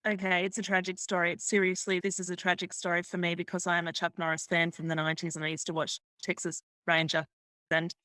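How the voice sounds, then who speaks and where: A woman's voice: on the low side, level tone, quick pace, sharp tone, dry recording. Australian woman author, dry close sound. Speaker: author, guest. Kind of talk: reading own fiction aloud and chatting.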